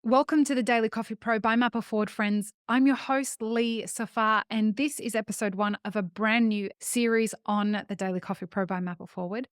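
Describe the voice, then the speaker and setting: A woman's voice: fairly high pitch, steady tone, normal speed, few pauses, smooth, no echo, clean studio sound. A woman hosting a podcast, talking to her listeners in an enthusiastic, conversational way and often calling them folks. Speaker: podcast host.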